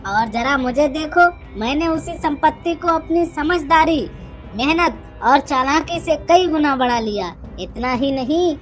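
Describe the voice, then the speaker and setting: A woman's voice: high-pitched, a little slow, faint music behind, voice pushed up. A woman voicing an arrogant, spiteful, boastful village headman, a cunning younger brother in a Hindi cartoon story. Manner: boastful, scornful.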